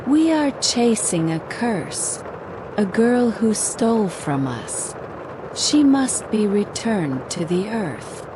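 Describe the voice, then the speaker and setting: Woman playing a sharp, cross village auntie. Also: medium pitch, big pitch swings, slow, background noise. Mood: falsely brave. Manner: sharp, accusing.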